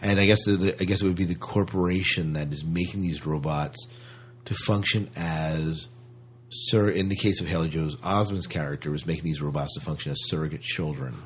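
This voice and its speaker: A man's voice: a bass voice, fairly even intonation, a little fast, slight background noise. A man co-hosting a podcast in casual conversation, thinking aloud and posing questions. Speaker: podcast co-host. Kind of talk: casual chat discussion.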